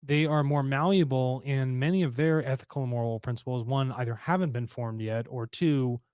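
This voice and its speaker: A man's voice: medium pitch, moderate pace, a little mellow, clean tone, dry recording, studio quality. Casual, blunt male podcast host. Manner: casual, blunt, curses freely. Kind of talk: casual interview.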